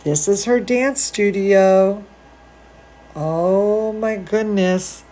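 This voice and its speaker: A woman reading a picture book aloud to young children, gushing and expressive, with chatty asides to her listeners. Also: medium pitch, very slow. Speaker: dance teacher hosting a children's story time.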